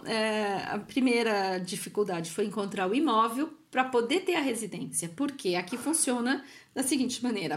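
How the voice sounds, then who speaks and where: A woman from Brazil, living abroad, telling a family story in a relaxed, animated way, often laughing and acting out what others said. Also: fairly high pitch. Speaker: guest. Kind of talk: casual conversational storytelling. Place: Italy.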